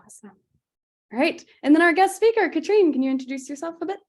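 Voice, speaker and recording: A woman's voice: extremely high pitch, animated, quick pace, darkish tone. A young woman hosting a video-call webinar in a chatty way, with frequent fillers and laughs. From United States. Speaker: webinar host.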